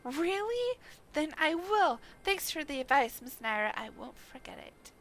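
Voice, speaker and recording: A woman's voice: sits high, big pitch swings, measured pace, fairly bright tone, pitched above the performer's own voice, heavily acted. A woman voicing an eager, admiring young boy from a video game, earnest and full of questions. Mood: excited.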